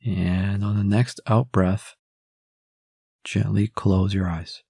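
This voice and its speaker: A man leading a guided meditation in a calm, measured, instructional way. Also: on the low side, gravelly, breathy edge, dry recording, clean studio sound.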